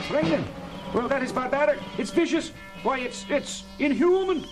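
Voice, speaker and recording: Ship's engineer: excitable, high male voice, vintage space adventure drama with music. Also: moderate pace, rough recording. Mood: indignant. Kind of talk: 1970s audio drama acting. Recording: vintage 1970s record, loud music behind.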